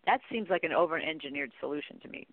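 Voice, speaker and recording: A woman's voice: deep voice, animated, fast talker, dry recording. A middle-aged woman speaking as a guest expert, answering conversationally with informal, rambling anecdotes and acted-out bits of dialogue. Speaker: guest expert, training company CEO. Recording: tinny, dry phone-line audio.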